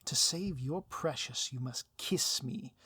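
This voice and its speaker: A man playing a gloating, melodramatic supervillain mastermind in an audio roleplay, with grandiose, drawn-out emphasis. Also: medium pitch, sharp tone, slightly rough, no echo, exaggerated voice. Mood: gloating, menacing. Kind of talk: audio roleplay villain acting.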